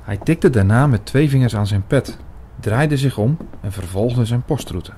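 Mid-pitched male narrator, faint ambience. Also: lively intonation, mellow, a little hiss or hum. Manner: measured, literary storytelling. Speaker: narrator and main character. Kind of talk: first-person radio play narration.

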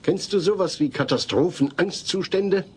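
A man's voice: sits high, normal speed, darkish tone, breathy edge. A man acting a patient, worried middle-aged father who explains and reassures in a sitcom audio play. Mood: patient reassurance. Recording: noisy old cassette audio play.

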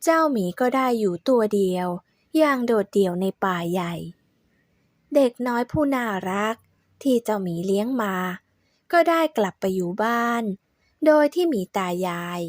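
A woman narrates a folk tale in a steady, measured voice set a little high. The recording is dry and close, with no room echo. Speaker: storyteller.

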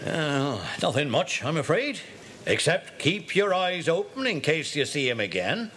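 A man voicing a brisk middle-aged police inspector in an audio drama, who asks questions in a friendly, businesslike way. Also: fairly high pitch, sing-song, fairly bright tone.

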